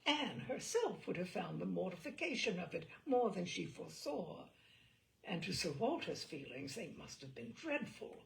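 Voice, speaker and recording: A woman narrating literary fiction with a very animated, swooping intonation. Her voice often drops into a creaky fry. She reads in a measured, storytelling way, stressing some phrases and hushing others. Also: on the low side, rough recording. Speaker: audiobook reader and storyteller. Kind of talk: scripted literary narration, reading a classic novel aloud.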